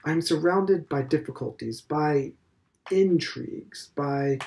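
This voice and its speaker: A male narrator plays a stern elderly headmaster who sermonizes in slow, weighty phrases. His voice rides a little higher and louder than the narration, with a gravelly edge. The manner is preachy and self-assured. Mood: insistent. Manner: emphatic, lecturing, slow stressed phrases. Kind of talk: audiobook character reading.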